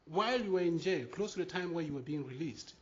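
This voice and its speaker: A man's voice: fairly high pitch, lively intonation. A man, asking a long question from the floor at a talk, full of ums and uhs. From Cameroon. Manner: halting, many ums and uhs. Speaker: audience member asking a question. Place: meeting room at a public talk. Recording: muffled, lo-fi conference recording.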